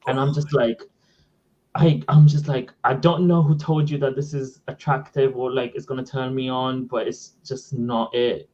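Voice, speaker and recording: A man's voice: mid-range voice, moderate pace. Man, native Sindhi speaker, casual chat, exasperated, dull lo-fi sound. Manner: animated storytelling, exasperated.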